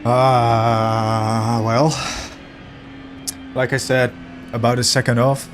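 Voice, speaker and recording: A hoarse man who is losing his voice narrates his own sim racing footage in an analytic, self-deprecating way. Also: mid-range voice, level tone, extremely slow, noisy background. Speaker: sim racing video host. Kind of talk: commentary over gameplay footage. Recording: voice-over with music underneath. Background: background music.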